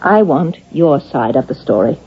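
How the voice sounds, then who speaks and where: A probing, husky-voiced psychiatrist. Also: female voice, deep voice, animated, full character voice. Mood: measured, probing. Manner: measured, deliberate, pressing. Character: probing, insistent psychiatrist questioning an accused killer. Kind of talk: vintage radio drama acting. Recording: dull old radio drama recording.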